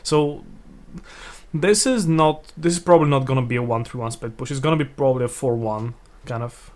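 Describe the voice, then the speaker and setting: Man co-commentating esports, mid pitch, relaxed, halting with repeats, analytical. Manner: relaxed, hesitant with fillers and repeated words, laughs easily. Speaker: esports co-caster. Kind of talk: casual game commentary.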